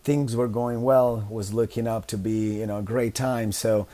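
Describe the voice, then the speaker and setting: Mellow Italian-accented man, rambling. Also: on the low side, steady tone, moderate pace. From Italy. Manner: rambling, full of "you know" and restarts. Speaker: guest. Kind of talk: casual interview chat.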